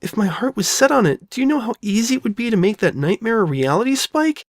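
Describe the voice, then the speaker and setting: A woman's voice: fairly high pitch, animated, fast talker, no room sound, studio quality, voice pushed up. Woman voicing an anxious, stammering young female pony. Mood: anguished. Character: frightened, guilt-ridden young female pony, self-doubting. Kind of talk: all-dialogue fan audio drama.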